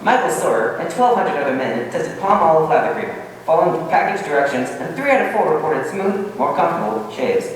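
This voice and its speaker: A man's voice: fairly high pitch, very animated, brisk. A man delivering a scripted old-time radio commercial as an announcer. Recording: echoey hall from far off, faint hiss.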